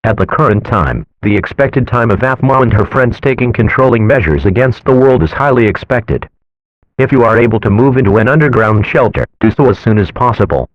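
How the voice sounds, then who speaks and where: A man's voice: mid-range voice, a little fast, dry recording. A man reading a scripted emergency alert announcement in a formal, official manner. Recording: muffled lo-fi audio, loud noise.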